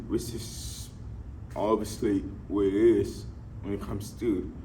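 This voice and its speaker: A man in his twenties with a speech impediment, giving an earnest, halting personal testimony in short phrases. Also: deep voice, warm tone, slight background noise, poor audio. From Nigeria. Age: 20s. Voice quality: speech impediment, imperfect articulation. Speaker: fitness enthusiast sharing life story.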